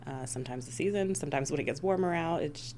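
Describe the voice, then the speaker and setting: A woman's voice: sits low, quick pace, a little mellow, slight background noise. A woman from the United States giving conversational answers as an emergency dispatch supervisor being interviewed. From United States (Missouri and Rhode Island).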